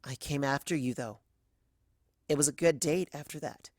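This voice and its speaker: A narrator plays a young pony mare in a storybook tale, wounded and bitter. The lines are terse and strained, with a creaky edge, in a very dry recording. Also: mid-range voice, moderate pace, a little mellow, no room sound, close to an everyday voice. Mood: gentle. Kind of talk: dramatic audiobook fan fiction reading.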